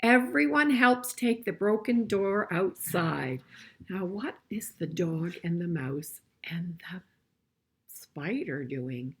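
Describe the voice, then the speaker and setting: An older woman reading a picture book aloud to children in an expressive way, pausing to chat and ask them questions. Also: on the low side, breathy edge. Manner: expressive, asking listeners questions as she goes. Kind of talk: children's storybook read-aloud with conversational asides and questions.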